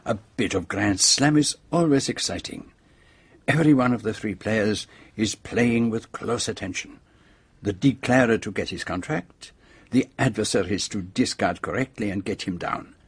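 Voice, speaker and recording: An actor playing a shrewd, self-assured detective in a radio drama, with a French-speaking accent and precise, theatrical phrasing. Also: male voice, fairly low pitch, moderate pace, husky, no echo. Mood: triumphant explaining.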